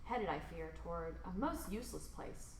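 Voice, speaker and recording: A woman reads a rhyming children's picture book aloud expressively, with theatrical emphasis and drawn-out words. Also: lowish voice, lively intonation, roomy sound, a little hiss or hum, low-quality recording. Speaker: storybook reader.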